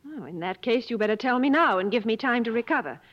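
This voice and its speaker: A strong-willed, wealthy lady in an old radio drama. Her voice sits on the high side, and she speaks formally and crisply, ready to challenge. The broadcast sound is somewhat dull. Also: animated, quick pace, a bit bright, in a large hall. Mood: challenging. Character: proud, strong-willed, wealthy woman on safari. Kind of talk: 1950s radio drama acting.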